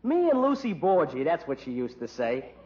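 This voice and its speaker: A man's voice: a little high, wildly swooping pitch, normal speed. A man acting a shady, wisecracking small-time crook and informant in a radio crime comedy, with slangy, streetwise talk. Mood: wary wisecracking. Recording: dull lo-fi recording.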